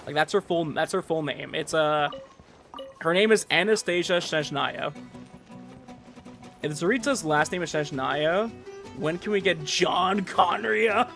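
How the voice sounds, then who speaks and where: A man with a fairly high-set voice talks to his livestream audience. He is conversational and rambles loosely from thought to thought. Soft music plays faintly behind him. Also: unhurried, creaky, pauses often. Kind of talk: casual chat with stream viewers. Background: background music.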